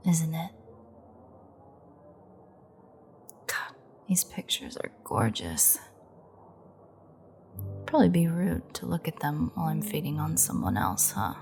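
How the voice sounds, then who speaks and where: A woman acts out an amused, predatory female vampire in an audio drama. She taunts in a lowish, gravelly voice, taking her time and slipping into breathy half-whispers. Her manner is sultry and threatening. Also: mellow. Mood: taunting, wry. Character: menacing, amused female vampire gloating over a captured hunter. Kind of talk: audio roleplay acting.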